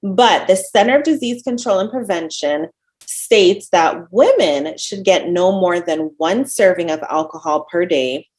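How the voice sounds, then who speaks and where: A woman's voice: fairly low pitch, animated, a little slow, a little mellow. A woman from Jamaica with a Jamaican accent, a dietitian giving an upbeat, friendly webinar presentation over a video call.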